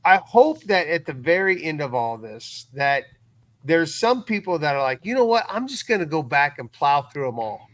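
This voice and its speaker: Bright, higher-voiced man chatting casually. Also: big pitch swings, moderate pace, dry recording, poor audio. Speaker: guest co-commentator on the recap. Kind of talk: casual live podcast chat.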